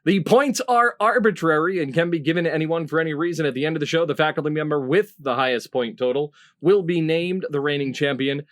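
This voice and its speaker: Higher-pitched man hosting a comedy game show. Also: brisk, hardly any pauses. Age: middle-aged. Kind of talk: formal scripted introductions for comedy panel game.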